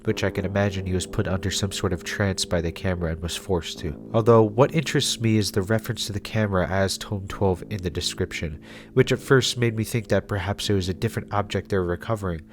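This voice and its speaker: Low-voiced man narrating a video essay. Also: fairly even intonation, quick pace. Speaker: host of a web series reviewing analog horror.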